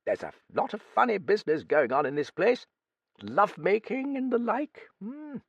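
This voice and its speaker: Guy putting on a cheeky old woman's voice, way up high and swooping, gravelly, blustery swearing. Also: big pitch swings, normal speed, a bit bright, dry recording, highs cut off, heavily acted. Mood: bluff, gossipy. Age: older. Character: gruff old Australian-born woman, blunt and cheeky.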